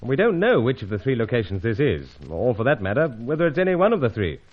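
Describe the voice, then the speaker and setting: A man acting a steady, gentlemanly British secret agent with clipped, composed speech in an old-time radio adventure serial. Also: medium pitch, quick pace, highs cut off, slight background noise, close to an everyday voice. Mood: respectful, businesslike.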